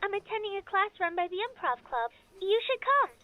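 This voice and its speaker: An eager anime student girl on a phone call. Her voice is extremely high and lilting, squeezed through a thin phone-like sound. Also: big pitch swings, a little fast. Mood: cheerful, inviting. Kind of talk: anime visual novel acting.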